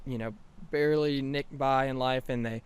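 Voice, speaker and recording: A man's voice: mid-range voice, fairly even intonation, moderate pace, smooth. A teenage boy with a light Southern US accent, chatting casually as a podcast guest, rambling and laughing often. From South Carolina. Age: teens.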